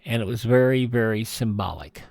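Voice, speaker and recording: An older American man hosting a radio show, chatting casually through dates and birthdays with rambling, folksy asides and hesitant pauses. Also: on the low side, gravelly, darkish tone, dry recording, studio quality. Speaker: radio host.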